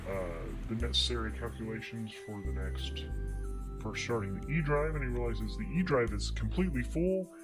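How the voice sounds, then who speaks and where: A man tells an improvised tabletop adventure in a mid-range voice. He moves through it haltingly, stopping to find his words, as solo narrator and game master. Instrumental music plays strongly underneath him. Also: medium pitch, poor audio. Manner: halting, pausing and searching for words. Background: prominent instrumental music.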